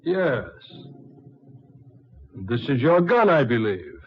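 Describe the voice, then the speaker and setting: An actor in a 1940s radio drama playing a scheming, murderous doctor who is cold but easily rattled. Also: male voice, mid-range voice, big pitch swings, takes it slowly, slightly rough, full character voice. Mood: coldly bargaining. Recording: dull, muffled 1940s radio recording.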